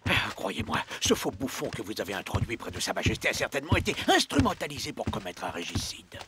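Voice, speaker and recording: A pompous, fretful king from a cartoon. The voice is bright and forceful and leaps around theatrically. He sounds like a spoiled child demanding to be amused. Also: mid-range voice, wildly swooping pitch, fast talker, a little hiss or hum. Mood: grumbling, suspicious. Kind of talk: French animated cartoon acting.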